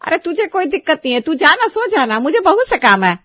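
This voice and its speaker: A woman's voice: very high-pitched, very animated, brisk, no echo, muffled recording, pitched above the performer's own voice. A woman voicing a demanding, greedy middle-aged mother-in-law who nags and taunts her daughter-in-law. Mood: bossy. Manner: nagging, sarcastic, bossy.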